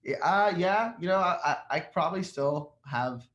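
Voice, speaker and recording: A man in his twenties whose first language is Arabic, chatting casually and telling stories in an animated way as an interview guest. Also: high-pitched, moderate pace, lo-fi sound. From Jordan, moved to the United States as a young child. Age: 20s. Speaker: podcast guest, rock singer. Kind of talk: casual conversational storytelling. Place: living room at home, indoors, on a video call. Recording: echoey room, faint background noise.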